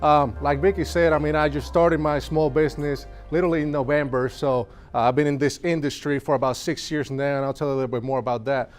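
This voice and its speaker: Puerto Rican man, smooth motivational speaker. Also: a little high, steady tone, fast, hardly any pauses, soft background music. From Puerto Rico. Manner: earnest storytelling, asks the audience questions. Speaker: speaker at a business networking event. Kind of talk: motivational talk to a live audience.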